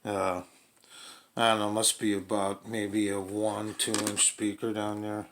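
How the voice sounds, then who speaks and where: Low, gravelly-voiced man chatting casually. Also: completely flat delivery, a little slow, background noise. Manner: hesitant, rambling, folksy plain talk. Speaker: radio reviewer demonstrating a portable radio. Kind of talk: casual unscripted product demonstration.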